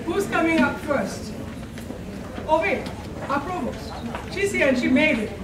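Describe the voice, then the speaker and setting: A woman's voice: high-pitched, lively intonation, measured pace, far from the mic. An older woman hosting an event, making informal announcements to an audience. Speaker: event host, college faculty member. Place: college classroom at a podium. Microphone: distant camera. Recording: echoey room from afar, audience noise. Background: audience and room noise.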